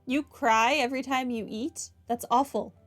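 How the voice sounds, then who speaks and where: A woman's voice: sits high, steady tone, moderate pace. A woman hosting a video game playthrough, casually commenting and reading dialogue aloud with a wry manner. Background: music.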